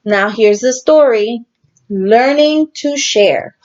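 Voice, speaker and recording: A woman teacher reading a storybook aloud and talking simply and deliberately to young children. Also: a little high, darkish tone, dull audio. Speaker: teacher reading to her class.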